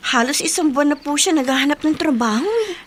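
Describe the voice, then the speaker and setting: A woman's voice: high-pitched, lively intonation, quick pace. A Filipino woman playing an eager, caring younger sister in a radio drama. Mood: reassuring.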